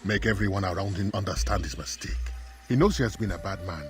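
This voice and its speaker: Actor as a low-voiced, calculating warlord. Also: male voice, music in the background, natural voice. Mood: cold, menacing. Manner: measured, formal, commanding. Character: calm, calculating African warlord boss giving orders, coldly menacing. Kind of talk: video game cutscene acting.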